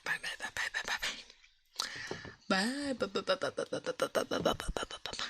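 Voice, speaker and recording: Woman ASMR livestream host, medium pitch, breathy whispery delivery, slow murmur, close mic, slightly noisy recording. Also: a bit bright.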